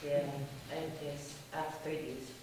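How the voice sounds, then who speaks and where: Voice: mid-range voice, flat delivery, slow. An organizer with a Filipino accent giving a self-introduction at a meeting. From Philippines. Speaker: union organizer. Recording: very echoey distant room, clear noise.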